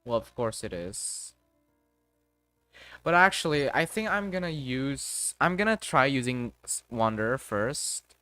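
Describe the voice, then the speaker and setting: Slow, halting male game streamer. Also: mid-range voice, sing-song, pushed and bright, clean tone, no echo, soft background music. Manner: halting, muttering to himself, mild swearing. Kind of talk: casual live gaming commentary, thinking aloud.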